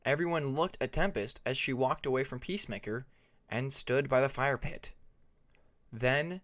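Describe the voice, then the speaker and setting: A man reading a fantasy story aloud as an audiobook narrator, in a scripted narration style. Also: mid-range voice. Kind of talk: scripted fan fiction narration.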